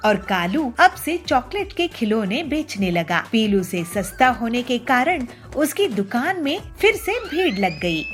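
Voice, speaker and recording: A woman narrating a cartoon moral story for children in a lively storytelling manner. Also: fairly high pitch.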